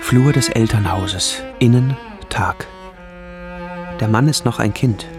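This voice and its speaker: Brooding narrator guy reading a film script, really slow, deliberate, strings loud behind. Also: medium pitch, over loud music, close to an everyday voice. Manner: deliberate, terse stage directions. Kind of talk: scripted radio drama narration.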